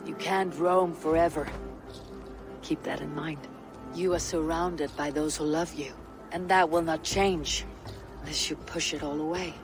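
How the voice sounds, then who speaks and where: A woman playing a steady, loyal Viking warrior in a video game cutscene, with a low, husky voice and a solemn, deliberate delivery. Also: over music. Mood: firm, caring.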